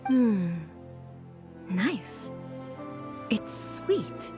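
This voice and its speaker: A woman's voice: a little high, big pitch swings, full character voice. Regal, curious female ruler, lilting. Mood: curious, musing. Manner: measured, formal, gently authoritative. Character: almighty female Shogun, serene, regal, curious about human treats. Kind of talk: scripted video game voice acting. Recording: game music under the voice.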